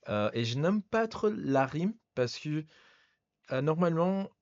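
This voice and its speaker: A man's voice: a little high, big pitch swings, measured pace, a little mellow, dry recording, highs cut off. A man speaking French as a second language, chatting casually as a podcast host and stopping often to search for words. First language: not French. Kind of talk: casual conversation.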